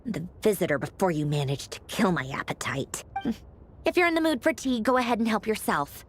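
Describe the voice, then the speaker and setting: An actress playing a haughty, sharp-witted young woman in a video game, sardonic and offhand with a dryly theatrical edge. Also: mid-range voice, animated, a little fast, slight background noise, lightly acted. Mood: haughty teasing. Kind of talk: scripted video game voice acting. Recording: music playing behind the voice.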